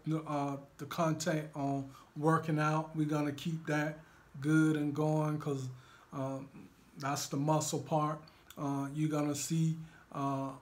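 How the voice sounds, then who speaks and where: A man from Alabama with a Southern country twang, hoarse from a cold, chatting in a laid-back, rambling way as co-host of a couple's vlog. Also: fairly high pitch, darkish tone. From Alabama, USA. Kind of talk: casual couple vlog chat.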